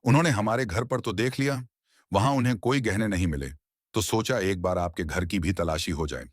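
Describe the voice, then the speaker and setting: A man's voice: lowish voice, a little fast, no room sound, clean studio sound, natural voice. A man voicing a protective, righteous young brother in a cartoon moral story, speaking earnestly and admonishingly. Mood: pointed.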